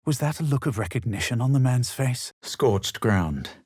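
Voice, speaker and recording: Casting: a superior, theatrical adventurer in a fantasy game; husky, very expressive pitch, dramatic and self-assured. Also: male voice, normal speed, dry recording, studio quality. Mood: measured, self-assured. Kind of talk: video game voice lines, narration and spell calls.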